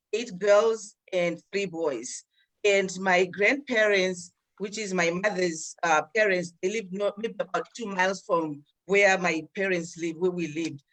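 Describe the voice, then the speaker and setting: A woman's voice: mid-range voice, fairly bright tone, rough recording. Older woman from Zimbabwe telling her story. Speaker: guest. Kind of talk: personal storytelling. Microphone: video call.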